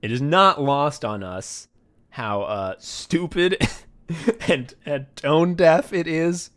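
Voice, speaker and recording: A man's voice: a little high, takes it slowly, fairly bright tone. A man hosting a podcast in casual chat, swearing freely and joking at his own expense. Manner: profane, joking and self-deprecating. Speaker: podcast host and comedy writer.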